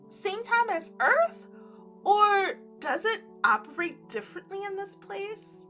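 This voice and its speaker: High voiced lady doing a visual novel playthrough, super animated n playful, music behind her. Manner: playful, effusive. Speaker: streamer playing a visual novel. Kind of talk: expressive read-aloud with casual reactions.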